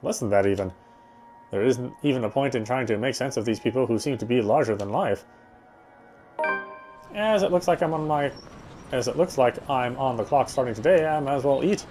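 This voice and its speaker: A man reads a visual novel aloud while playing it. His voice sits at an average male pitch. Faint music runs behind his narration. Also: a little fast, pauses often, a little mellow. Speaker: let's player reading a game aloud.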